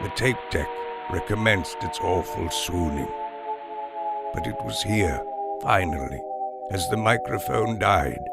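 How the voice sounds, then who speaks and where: A man narrating scripted first-person literary fiction in a measured, deliberate, formal way. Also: deep voice, lively intonation, gravelly, over loud music. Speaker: storyteller narrator of an audio fiction series.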